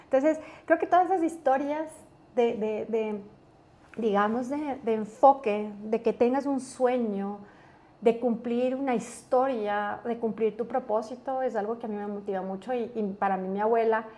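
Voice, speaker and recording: A woman with an Ecuadorian accent telling family stories as an interview guest, earnest and animated, often restarting her sentences. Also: fairly high pitch, normal speed. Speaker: guest, business executive. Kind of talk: casual interview storytelling.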